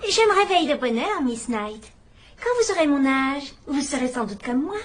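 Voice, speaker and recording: Elderly woman sleuth, wry and probing, high lively voice; faint hiss behind. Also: moderate pace. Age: older. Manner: gentle, wry, quietly probing. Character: shrewd elderly spinster sleuth, gentle and sharp. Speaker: amateur detective. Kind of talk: dubbed radio-style drama acting.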